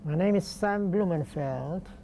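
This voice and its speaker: An older man from Poland, speaking slowly and deliberately with pauses in an oral history interview. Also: high-pitched, gravelly, dark tone, a little hiss or hum, low-quality recording. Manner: slow, deliberate, halting with pauses.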